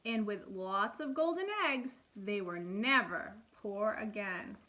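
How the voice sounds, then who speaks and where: A woman's voice: medium pitch, slow, sharp tone, a little hiss or hum. A woman speaking as an elementary teacher, reading and explaining expressively to young children with guiding questions. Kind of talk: read-aloud and lesson for young children.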